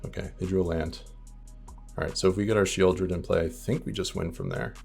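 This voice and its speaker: Very low male voice, creaky, slightly scratchy and worn, casual game streamer commentary, deliberate thinking aloud. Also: fairly even intonation, darkish tone, faint music behind. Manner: deliberate, reasoning through options aloud. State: voice a little worn, starting to go. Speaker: streamer playing a card game tournament.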